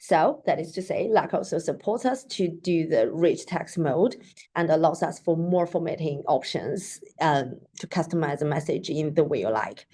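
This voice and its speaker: A woman with a lowish voice, a native Mandarin speaker, demonstrates software features over a screen share. She talks in a loose, chatty way, leaning on fillers as she clicks through each step. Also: expressive, moderate pace, slightly rough. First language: Mandarin Chinese. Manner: chatty, with frequent "like" and "so that is to say". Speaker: software demo presenter. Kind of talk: casual screen-share tutorial. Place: at a computer, recording her screen.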